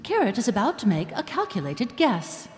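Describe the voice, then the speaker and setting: A woman's voice: fairly high pitch, wildly swooping pitch, normal speed. A woman performing as a wry, knowing storytelling narrator in a scripted live radio comedy. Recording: reverberant live stage, faint music.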